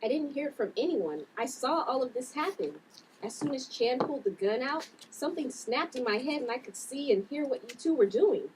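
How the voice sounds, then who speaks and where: An anxious, bewildered sister from a drama script, acted out by a woman. Her voice is fairly high, a bit distant from the mic, with faint noise around it. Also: lively intonation, a little fast. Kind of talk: table read of a drama script.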